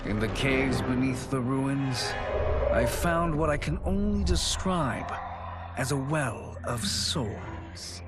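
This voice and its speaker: An actor playing an ambitious, power-hungry sorcerer villain, narrating a game cutscene in a deliberate, grandiose manner. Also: male voice, mid-range voice, animated. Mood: scheming, triumphant. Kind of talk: dramatic scripted cutscene narration. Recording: loud music behind voice.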